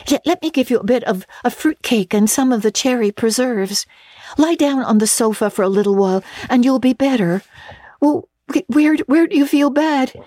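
A woman's voice: fairly high pitch, animated, normal speed, dark tone, no room sound, clean studio sound, voice pushed up. A woman voicing an imaginative, earnest girl who talks breathlessly and theatrically with stammering restarts. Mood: pleading.

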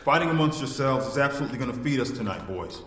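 An actor playing the exasperated, tough peacemaker of a criminal crew, with dry sarcasm, in a sci-fi audio drama. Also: male voice, mid-range voice, expressive, fast talker, a bit bright, close to an everyday voice. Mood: sarcastic. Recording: reverberant sound, music behind.

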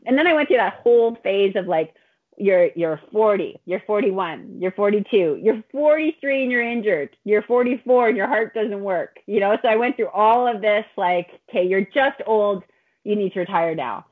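A woman's voice: medium pitch, expressive, normal speed. Phone-line woman in her forties, candid. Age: late 40s. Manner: candid, self-deprecating. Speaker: guest, veteran triathlete and running coach. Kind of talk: casual interview talk. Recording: thin phone-like call audio.

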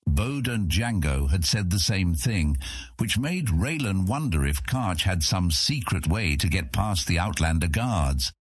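A man with a husky voice narrating a scripted fantasy audiobook. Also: sits low, lively intonation, measured pace, no room sound, clean studio sound.

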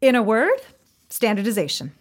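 Self-assured government minister: crisp, formal and bossy, highish with expressive pitch, comedy audio drama. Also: female voice, normal speed. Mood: businesslike.

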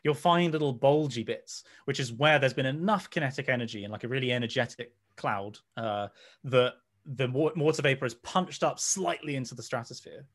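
Man, mid-pitched voice, informal science explanation, digressive, online call. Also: a little fast.

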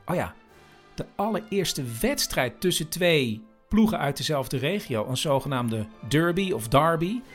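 A man's voice: on the high side, animated, a little slow, faint music behind. A man hosting a podcast, narrating and explaining in an informal style. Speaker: podcast host.

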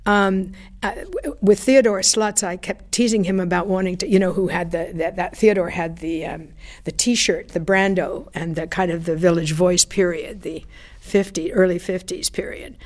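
A woman's voice: lowish voice, steady tone, normal speed. Older woman telling radio anecdotes. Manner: chatty anecdotal storytelling with laughs and fillers. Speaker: former radio broadcaster interviewee. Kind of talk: reminiscing interview.

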